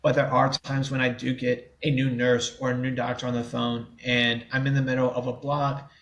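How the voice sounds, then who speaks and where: A man's voice: medium pitch, flat delivery, normal speed, fairly bright tone. A man in his twenties from the New Orleans area, a guest in a podcast interview who stutters openly, with blocks and repeated sounds, and talks in a candid, easygoing way.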